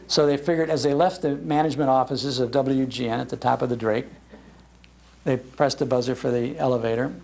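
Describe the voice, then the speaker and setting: An American man telling anecdotes to a gathering as an animated raconteur. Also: mid-range voice, a little fast, gravelly. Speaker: speaker, radio historian. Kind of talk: anecdotal storytelling in a public talk. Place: public library talk.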